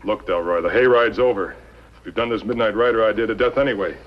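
A man's voice: on the low side, fast, lightly acted. A man in his thirties playing a blunt, skeptical cowboy outlaw in a 1950s TV western, with a sardonic delivery. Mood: defiant. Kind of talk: 1950s television western acting. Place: small wooden cabin set, indoors. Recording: muffled, noisy old 1950s TV soundtrack.

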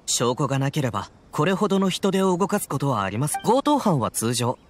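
A man's voice: a little high, big pitch swings, fairly quick, faint music behind. A man playing an earnest, self-assured samurai guard in a video game, formal and emphatic. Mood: officious, indignant. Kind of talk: video game dialogue acting.

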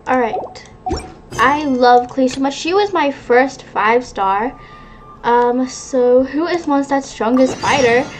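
High-voiced woman doing gameplay commentary. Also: slow. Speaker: gaming YouTuber. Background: game music.

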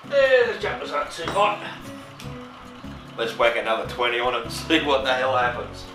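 A middle-aged man giving a casual hands-on demonstration, thinking aloud in a laid-back, halting mutter. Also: medium pitch, very animated, loud music behind the voice. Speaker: host of a workshop tinkering channel. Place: cluttered shed workshop, indoors. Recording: roomy, distant camera sound.

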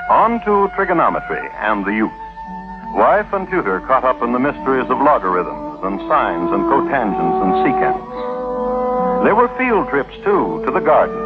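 Animated male narrator, tinny old radio, music. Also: medium pitch, moderate pace. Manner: wry, theatrical. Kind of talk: scripted wry storytelling narration for 1950s radio. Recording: very tinny vintage 1950s radio recording.

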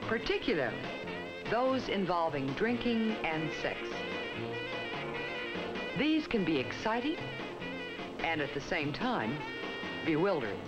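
A fairly low-voiced American woman narrates a 1960s instructional film, with expressive rises and falls in her measured phrasing. The soundtrack is muffled, and music plays clearly behind her. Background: prominent music.

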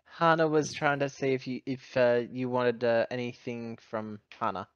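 A woman role-playing casually at a tabletop game, talking in a low-key, weary manner. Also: steady tone, measured pace, smooth, no room sound, lo-fi sound. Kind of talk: casual in-character chat.

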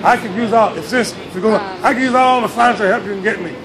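Middle-aged street vendor, high voice, jokey and preachy, caught on a camera outdoors with loud traffic. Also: male voice, quick pace, over a noisy crowd. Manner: joking, playful, speechifying. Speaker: street bookseller. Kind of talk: casual street interview. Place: outdoors on a city sidewalk. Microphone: camera held by interviewer.

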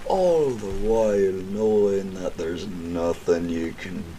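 An actor playing a sinister criminal mastermind in an audio drama, taunting a captive in formal, theatrical language. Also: male voice, deep voice, takes it slowly, warm tone, a little hiss or hum, poor audio. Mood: gloating, menacing.

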